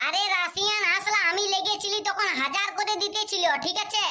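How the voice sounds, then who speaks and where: A performer voicing a childlike cartoon villager who haggles eagerly, with a squeaky lisping voice and a Purulia Bengali accent. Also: steady tone, fairly quick, background noise. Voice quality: very high, squeaky, babyish lisped consonants. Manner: pleading, nagging village bargainer. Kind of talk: comedy cartoon dialogue.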